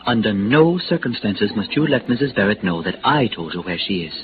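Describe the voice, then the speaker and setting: Prissy, swooping hotel manager, vintage radio. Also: male voice, fairly low pitch, big pitch swings, fairly quick. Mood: guarded, prim. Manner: fussy, haughty, over-polite, hesitant. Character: prissy, snobbish, discreetly greedy male hotel manager. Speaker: actor in a radio detective serial. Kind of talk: old-time American radio drama acting. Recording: muffled, noisy vintage radio recording.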